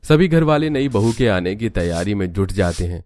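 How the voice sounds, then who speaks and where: A man's voice: lowish voice, lively intonation, normal speed, a little mellow, no echo. A man narrating a cartoon family story in a scripted storyteller style.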